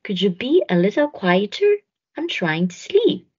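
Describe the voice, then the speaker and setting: A woman's voice: medium pitch, big pitch swings, measured pace, dark tone, slightly rough, highs cut off, pitched above the performer's own voice. A woman voicing a timid, polite little female armadillo in a children's story. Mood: timid, pleading.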